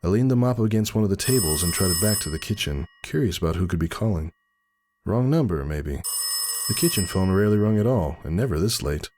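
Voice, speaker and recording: A man's voice: sits low, expressive, fairly quick, background noise. A man playing a brooding, anxious stallion husband, telling his tale in a somber, dramatic audiobook style. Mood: tense recollection. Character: brooding male pony husband, anxious and suspicious.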